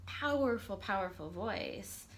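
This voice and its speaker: A woman's voice: medium pitch, expressive, unhurried, a little hiss or hum. A woman coach talks eagerly in an interview, with a mid-range voice. She often stumbles as she starts a sentence, then lands her point with conviction. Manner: earnest and enthusiastic, stumbles on starts. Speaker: life coach guest. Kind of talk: conversational interview.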